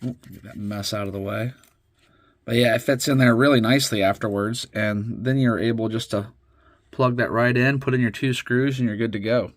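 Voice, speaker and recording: Male hobby vlogger, congested and slightly nasal, relaxed chatty delivery, dry phone audio. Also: mid-range voice, moderate pace, dry recording. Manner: relaxed, chatty, with fillers and restarts. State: congested with a head cold. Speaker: RC hobby channel host. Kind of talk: casual how-to build commentary. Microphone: phone camera.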